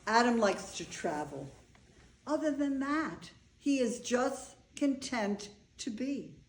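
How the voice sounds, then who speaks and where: A woman's voice: a little high, lively intonation, slow pace. A woman playing an eccentric, affectionate older bride in a staged reading, with an earnest, rambling way of telling things. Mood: fondly exasperated. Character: Eve, eccentric older bride, affectionate, chatty animal lover. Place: indoor room, staged reading. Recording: echoey room.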